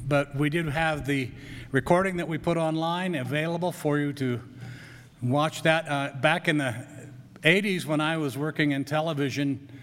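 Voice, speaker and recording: A man's voice: fairly high pitch, fairly bright tone. An older man leading worship, giving church announcements in a chatty, self-deprecating way. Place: church sanctuary. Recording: long church hall reverb.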